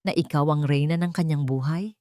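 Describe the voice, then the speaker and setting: A woman's voice: deep voice, a little fast, dark tone, no echo, studio quality, lightly acted. A woman voicing a cold, fearless, commanding wife out for revenge in an audio story. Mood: bitter mockery. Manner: cold, authoritative.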